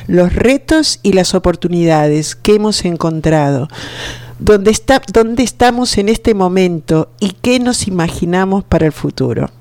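A woman's voice: lowish voice, animated, measured pace, darkish tone, no room sound. A woman hosting a radio programme, introducing guests in a conversational way. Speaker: radio show host. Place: radio studio. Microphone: studio microphone.

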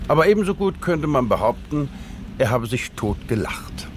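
An actor playing a wry, amused investigator in a German radio drama, speaking in an urbane, ironic way. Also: male voice, medium pitch, big pitch swings, normal speed, a little hiss or hum, natural voice. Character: wry, amused male investigator summing up a case.

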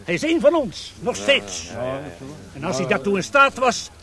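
A commanding rebel uncle addresses men in a radio play. His high, animated voice is firm and declamatory, with a crowd behind him. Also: moderate pace. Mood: firm, rousing. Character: commanding, protective uncle and staunch republican rebel. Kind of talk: radio drama acting.

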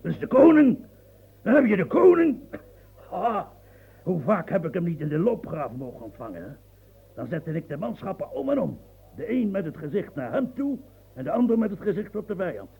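An actor playing a proud, blustering old marshal in a radio play, gravelly and coughing, swinging from mumbles to outbursts. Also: male voice, high-pitched, very animated, moderate pace, phone-quality sound, full character voice. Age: older.

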